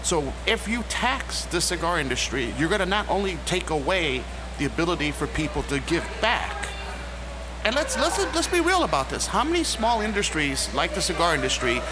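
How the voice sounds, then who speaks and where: A man talking as a guest on a podcast in a cigar lounge, joking and rallying listeners in an animated way. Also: fairly high pitch, moderate pace. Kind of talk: casual roundtable chat. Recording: noisy with passing traffic.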